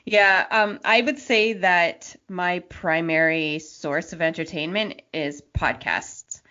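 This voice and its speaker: A woman with a fairly low voice hosts a casual conversation. Her delivery is informal and conversational. The recording is a little dull and lo-fi. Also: slow, sharp tone. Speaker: podcast host. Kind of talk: casual chat. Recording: dull lo-fi podcast audio.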